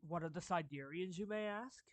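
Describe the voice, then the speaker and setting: A performer voicing a suave, courtly villain turned gently protective friend in an anime fan roleplay, speaking formally and deliberately with pauses mid-phrase. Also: medium pitch, no echo, close to an everyday voice. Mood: explanatory, grave. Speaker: character voice actor. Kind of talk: anime fan roleplay monologue addressed to the listener.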